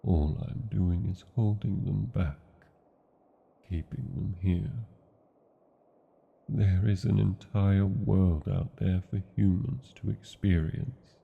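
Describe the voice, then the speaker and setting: A British man voicing a huge, ancient, proud yet lovesick dragon in a romantic fantasy roleplay, with a gravelly, husky voice and archaic, theatrical phrasing. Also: deep voice, narrow, tinny audio, exaggerated voice. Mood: melancholy, regretful. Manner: archaic, grandiose phrasing with lingering pauses.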